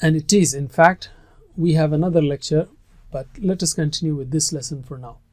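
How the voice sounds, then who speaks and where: A man's voice: on the high side, a little fast, dry recording. A man whose first language is Urdu, teaching a recorded lesson on Quranic Arabic in an earnest, emphatic way that stresses key words.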